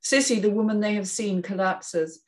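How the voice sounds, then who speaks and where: A woman's voice: mid-range voice, normal speed, a little mellow. A woman narrating and hosting a script reading, reading out screenplay stage directions. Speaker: narrator and panel host.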